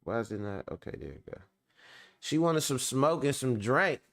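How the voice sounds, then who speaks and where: A man's voice: mid-range voice, big pitch swings, moderate pace, a bit bright. A man hosting a show in casual chat, talking in loose, joking, profane banter. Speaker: show host.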